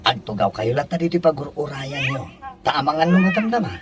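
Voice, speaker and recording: A man's voice: a little high, lively intonation, brisk, a crowd in the background, lightly acted. A Filipino man with an Ilocano accent playing a flustered, stammering married security guard in a radio comedy drama. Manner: flustered, hesitant, stammering exclamations.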